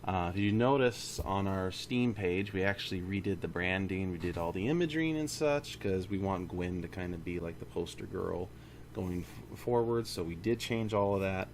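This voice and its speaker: Low-voiced, gravelly man giving a casual update. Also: moderate pace, a little hiss or hum. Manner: informal, rambling, self-correcting. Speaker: indie game studio founder. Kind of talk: casual monthly studio update to camera or mic.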